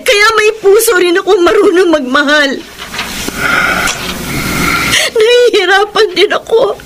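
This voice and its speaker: A woman's voice: very high voice, moderate pace, noisy background. A woman in a Filipino radio drama playing an impassioned mistress who pleads and defends her love in an emphatic, melodramatic way. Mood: tearful, wounded.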